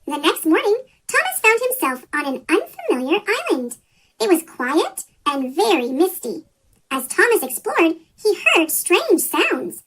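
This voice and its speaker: Tiny squeaky chipmunk voice reading a kids storybook, super high n bouncy. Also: big pitch swings, a little slow, fairly bright tone, a little hiss or hum, poor audio. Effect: sped-up chipmunk pitch shift. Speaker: children's story reader. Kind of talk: scripted storybook read-aloud.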